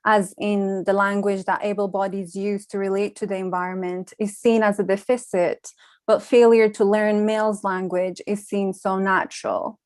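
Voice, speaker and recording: A young woman from Iran, a Farsi speaker, giving a measured, reflective conference talk with frequent ums. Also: medium pitch, flat delivery, darkish tone, no room sound. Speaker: panel speaker, design researcher.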